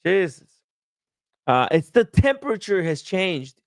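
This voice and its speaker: A man hosting a casual livestream chat, relaxed and chatty, laughing easily. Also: high voice, a little slow, no echo, clean studio sound. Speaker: host.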